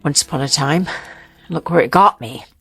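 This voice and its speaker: A woman's voice: low-pitched, moderate pace, gravelly, husky, faint music behind, full character voice. An actress playing a wary, guarded woman in a naturalistic radio drama, anxious and dryly sardonic, sometimes hesitant.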